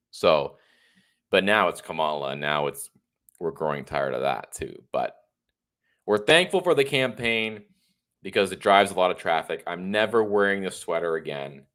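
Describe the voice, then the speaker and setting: A man's voice: sits low, lively intonation, a little slow, pauses often, fairly bright tone. A man in his thirties hosting a casual political livestream, congested and a bit tired, talking in a chatty, rambling, opinionated way. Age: 30s. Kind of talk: casual solo livestream monologue.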